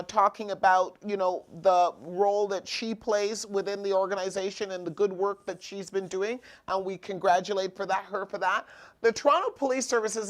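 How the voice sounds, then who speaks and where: A woman's voice at a middle pitch hosts a talk show. She reads numbers from her notes, trips over words and corrects herself as she goes. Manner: reads figures aloud, stumbling and self-correcting.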